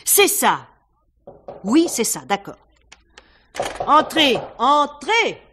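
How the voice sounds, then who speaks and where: An actress playing a brisk, commanding, unsentimental theatre director in a radio mystery. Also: female voice, high-pitched, sing-song, fairly bright tone, slight background noise, full character voice. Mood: impatient. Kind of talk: 1950s-style French radio mystery acting.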